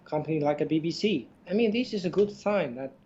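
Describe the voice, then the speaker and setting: A man's voice: a little high, animated, brisk, dark tone, muffled recording, slight background noise. A man whose first language is Chinese co-hosts a podcast, speaking English hesitantly with many fillers. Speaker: podcast co-host. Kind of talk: casual podcast chat.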